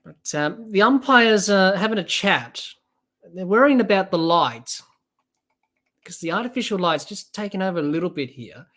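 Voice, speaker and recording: A young Australian podcast host calls a cricket match live in a loose, rambling way. They chat to listeners and read out their comments between deliveries. The voice sits at an ordinary middle pitch. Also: lively intonation, normal speed, a bit bright. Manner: chatty, rambling, reads out viewer comments.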